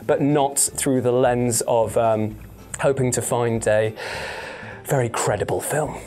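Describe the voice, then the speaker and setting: A presenter chatting casually about opinions in a jokey, animated way, swearing freely. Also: mid-range voice, measured pace. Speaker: video channel presenter. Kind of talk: casual chat, film opinion. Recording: music behind the voice. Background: background music.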